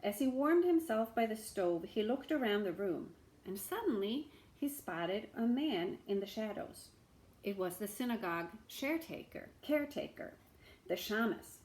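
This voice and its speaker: A woman reading a story aloud expressively, with dramatic pauses and small asides to the listeners. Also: mid-range voice, animated, a little mellow, low-quality recording.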